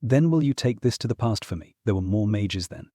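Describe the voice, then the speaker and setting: Scheming ex-god uncle, acted by a man: imperious, persuasive, dark-toned and husky, hungry for old glory, fantasy audiobook. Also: fairly low pitch, expressive, fairly quick, dry recording, clean studio sound. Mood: insistent. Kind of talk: full-cast fantasy audiobook acting.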